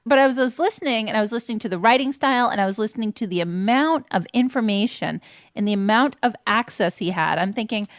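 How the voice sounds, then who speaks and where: A woman's voice: on the high side, expressive, fast talker, dry recording, clean studio sound. A woman on a podcast panel giving a casual, rambling review that circles back over her phrases.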